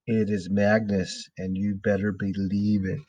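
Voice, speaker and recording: A man voicing a stern ghostly male spirit in a storybook, speaking in a deliberate, commanding way. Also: fairly low pitch, flat delivery, darkish tone, no room sound, dull audio. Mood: eerie, commanding.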